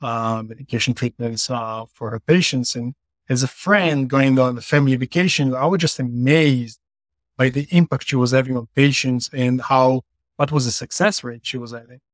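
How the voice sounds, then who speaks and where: A man's voice: mid-range voice, normal speed, dry recording. Casting: male CEO from Israel, mid voice, earnest, filler-heavy, dry call sound. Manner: earnest explaining with many fillers. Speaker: company CEO guest. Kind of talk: podcast interview. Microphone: remote call.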